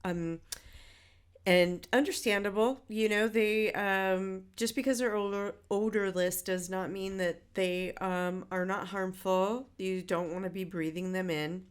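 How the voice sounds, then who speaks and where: A middle-aged woman who is a bit stuffy from a head cold, talking through a relaxed drawing tutorial in a chatty way, with many fillers and self-corrections. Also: medium pitch, unhurried, clear, resonant tone. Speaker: art instructor.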